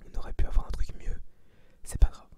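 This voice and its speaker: An eighteen-year-old man gives an informal ASMR review in a breathy whisper, slangy, sweary and blunt. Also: brisk, warm tone. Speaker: ASMR creator reviewing a film.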